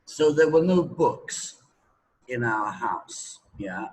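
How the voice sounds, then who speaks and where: A middle-aged man talking anecdotally as an English teacher, deliberate and emphatic, with dramatic pauses and repetition. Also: mid-range voice, animated, poor audio. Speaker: English teacher being interviewed. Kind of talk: anecdotal spoken talk.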